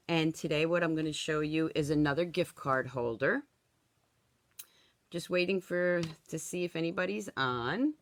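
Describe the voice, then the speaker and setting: A woman in her sixties leads a friendly live stamping demonstration at her craft table. Her voice is a little hoarse from a cold, and her delivery is chatty and easygoing. The sound is dry and close, with no room echo. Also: deep voice. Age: 60s. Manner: chatty, tags instructions with "okay?". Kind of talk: live-streamed craft tutorial.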